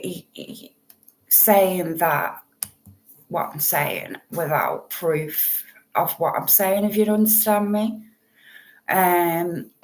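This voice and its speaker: A woman talks unhurriedly in a casual livestream. She rambles and apologizes, pausing often as she sorts out her setup. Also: a little hiss or hum. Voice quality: low voice. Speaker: true crime channel host.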